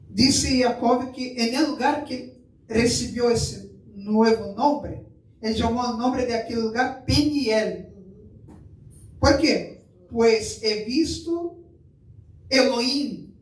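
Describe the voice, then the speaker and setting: A man from Brazil preaching a sermon, mixing Portuguese with Spanish and putting questions to the congregation. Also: sits high. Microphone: far from the mic. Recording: echoey room, mic far off, faint noise.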